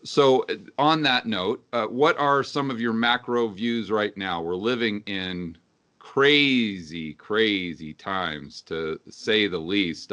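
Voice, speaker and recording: Guy hosting an interview show, bright forceful voice, eager questions. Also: lowish voice. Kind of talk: casual interview talk.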